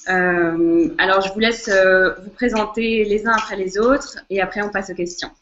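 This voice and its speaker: A woman moderating a live video chat, introducing it briskly. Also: medium pitch, steady tone, fairly bright tone. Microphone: video call. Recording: dull lo-fi video call audio.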